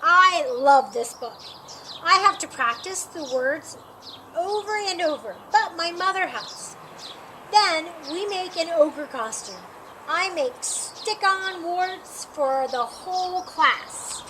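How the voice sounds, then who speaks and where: A woman reading a children's picture book aloud in an expressive, deliberate way. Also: extremely high pitch. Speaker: storytime reader. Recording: clearly noisy, with birdsong behind. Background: birds chirping.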